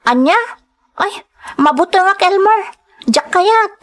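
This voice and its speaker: A woman's voice: very high voice, lively intonation, slow pace, a bit bright, heavily acted. A woman playing a worried young woman in an audio drama, with an Ilocano accent, telling her family's story. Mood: fearful. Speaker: actor in a radio-style drama. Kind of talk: dramatized narration and dialogue. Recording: clear background noise.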